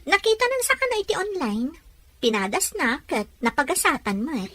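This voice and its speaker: A woman's voice: high voice, big pitch swings, fairly quick, fairly bright tone, dry recording. A woman playing a defiant, plaintive young woman in a domestic drama. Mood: concerned. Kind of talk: Ilocano drama acting.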